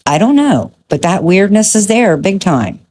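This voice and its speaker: A woman's voice: medium pitch, very animated, a little slow, a little mellow. A woman delivering conversational true crime commentary in a blunt, emphatic and judgmental way.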